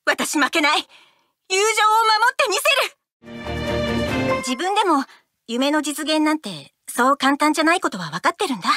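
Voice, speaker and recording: Game heroine: caring, determined teen, high and expressive, music behind. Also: female voice, normal speed, long pauses, no room sound, heavily acted. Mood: earnest, determined. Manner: earnest, emphatic. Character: strong-willed, caring teenage girl friend of duelist. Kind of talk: video game character voice clips.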